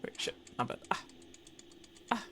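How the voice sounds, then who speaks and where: A man streaming a game live, reacting loudly, swearing, laughing often and talking to the game. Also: a little hiss or hum. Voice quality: excitable, profane, quick to laugh, talks to the game. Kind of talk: live gameplay commentary.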